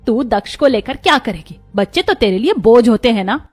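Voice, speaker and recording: A woman's voice: fairly high pitch, lively intonation, moderate pace, a little mellow, soft background music, lightly acted. A woman voicing a stern, scolding older mother-in-law in a dramatic, reproachful cartoon family drama. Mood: scornful.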